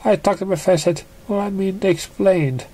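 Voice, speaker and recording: A storybook reader voicing an excitable, earnest female pony who speaks in a halting, apologetic way. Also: mid-range voice, pitched above the performer's own voice, natural voice. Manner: meek, halting, then exclaiming.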